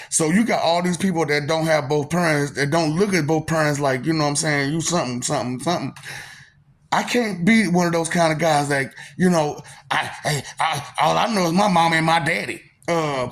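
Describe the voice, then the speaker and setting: A man in his thirties speaks in a soft, polite-sounding voice, pitched a little higher than most men. He tells a personal story in a laid-back, slangy way, circling back with fillers and breaking into laughs along the way. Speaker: vlogger telling a personal story. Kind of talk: casual storytelling monologue.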